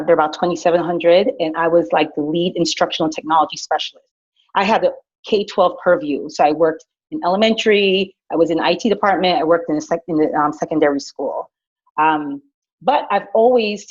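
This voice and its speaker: A woman's voice: fairly low pitch, brisk, breathy edge. Chatty woman on a dull lo-fi recording. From Guyana. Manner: lively, chatty, many fillers and restarts. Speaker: director of innovation at a school. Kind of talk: personal leadership story, casual.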